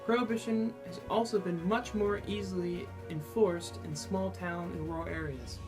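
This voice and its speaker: A man's voice: high-pitched, steady tone, normal speed, music in the background. A man formally reading a scripted news report as a correspondent.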